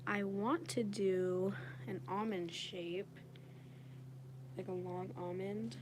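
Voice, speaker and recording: A woman with a raspy, slightly under-the-weather voice, chatting casually and informally as she demonstrates nail work on a livestream. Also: mid-range voice, steady tone, slow. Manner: informal, frequent "like". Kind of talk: casual live chat while demonstrating. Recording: clearly noisy home livestream recording. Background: washing machine noise.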